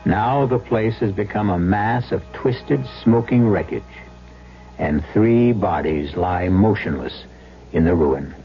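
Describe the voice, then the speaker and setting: A man hosting and narrating a radio drama in a gravelly, grave, dramatic scripted style. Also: fairly low pitch, slow, faint music behind. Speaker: host and narrator. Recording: muffled vintage radio recording.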